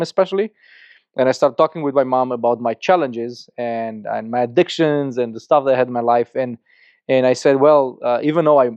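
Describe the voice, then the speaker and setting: A middle-aged man from Romania speaks English with a Romanian accent. He is a podcast guest telling his story in an earnest, halting way, often restarting and repeating words. His voice sits at a middle pitch, and the recording is dry and a little muffled. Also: darkish tone, no room sound. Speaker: guest, church planter.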